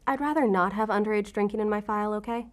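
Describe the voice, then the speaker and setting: An actress playing a guarded, defiant law-enforcement agent in an audio drama, with a sarcastic, defensive way of talking. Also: female voice, medium pitch, fast, close to an everyday voice. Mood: teasing, deflecting.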